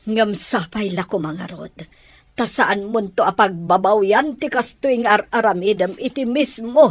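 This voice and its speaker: A Filipino woman playing an anxious widowed mother in an audio drama, imploring and emotional, with a husky, breathy voice. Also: mid-range voice, a little slow, no echo, lightly acted. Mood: reproachful, upset. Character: anxious, pleading widowed mother protecting her grown son. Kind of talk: Ilocano radio-style audio drama acting.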